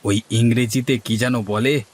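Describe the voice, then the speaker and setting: Male actor, cartoon villager, scheming or fretful, theatrical delivery, middle-pitched. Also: fairly even intonation, unhurried, a little hiss or hum, natural voice. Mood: sly, calculating. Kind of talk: dramatised cartoon dialogue.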